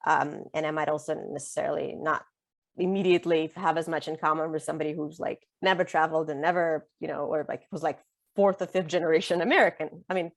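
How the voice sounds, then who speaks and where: Woman, middle-aged, Russian native speaker; conversational author guest, lower register, dry close mic. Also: steady tone, quick pace, gravelly, no room sound. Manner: chatty, many fillers and restarts, laughs easily. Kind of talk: conversational interview.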